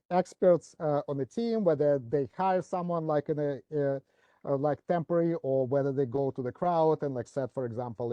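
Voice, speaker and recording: A man talks through a business process on a webinar, circling back with constant fillers and false starts. His voice sits a bit high and sounds mellow. The call audio is dry and muffled. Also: no room sound. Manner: hesitant, many fillers and restarts. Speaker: webinar speaker. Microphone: video call.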